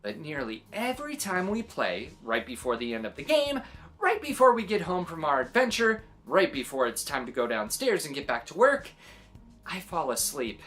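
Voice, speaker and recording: Female children's read-aloud host: low register, very animated delivery, expressive reactions, soft music underneath. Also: normal speed. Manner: exclaiming, expressive, adds little reactions. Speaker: children's story reader and host.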